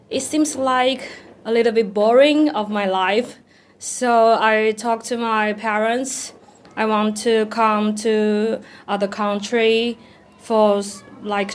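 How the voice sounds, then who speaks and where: A young woman from Taiwan, answering interview questions in halting English, pausing to find words and laughing often. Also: mid-range voice, slight background noise. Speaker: singer guest.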